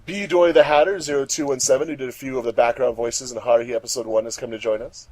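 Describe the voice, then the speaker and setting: A man's voice: mid-range voice, steady tone, fast, darkish tone, low-quality recording. Male host with a mid-range voice. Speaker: host of a fandub commentary track. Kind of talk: casual introductions and chat.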